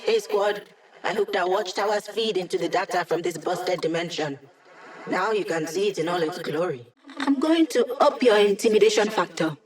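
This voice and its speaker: A woman's voice: on the low side, normal speed, lightly acted. An actress voicing a wry, cocky young woman hacker in a video game, speaking in quippy, casual lines. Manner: sardonic, quippy, casual slang. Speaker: game character, hacker support.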